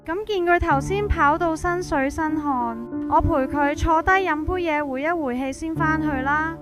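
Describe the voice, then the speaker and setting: A woman's voice: sits high, normal speed, lightly acted. A woman with a Hong Kong Cantonese accent narrating a scripted first-person ghost story. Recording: prominent music behind.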